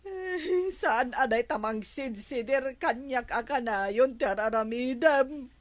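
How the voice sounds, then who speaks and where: Slow, high-pitched whiny Filipino village woman. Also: slight background noise. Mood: tearful, pleading. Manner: whiny, plaintive, exclaiming. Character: vain, embarrassed village woman whining about her ruined face. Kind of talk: Ilocano radio comedy drama acting.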